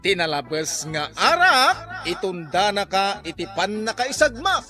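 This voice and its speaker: A man's voice: high-pitched, big pitch swings, unhurried, bright, forceful tone, faint music behind. A man with an Ilocano accent announcing a radio drama program in a dramatic scripted style.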